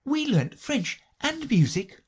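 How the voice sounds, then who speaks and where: A man's voice: extremely high pitch, sing-song, slow pace, slightly rough, voice pushed up, heavily acted. A man voicing a polite, curious young girl in a storybook, prim and questioning, easily affronted. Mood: indignant. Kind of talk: storybook character voice.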